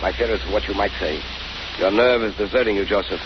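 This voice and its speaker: A man's voice: fairly low pitch, brisk, natural voice. An actor playing a suave, murderous millionaire villain in a 1930s radio drama, coldly polite and pompous in his threats. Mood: cold menace. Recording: noisy, muffled 1930s radio recording.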